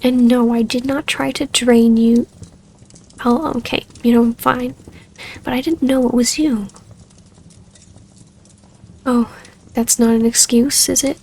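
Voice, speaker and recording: A woman acting a wistful, tender vampire baroness in an ASMR roleplay, speaking softly and haltingly in an intimate delivery. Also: fairly high pitch, fairly even intonation, a little hiss or hum.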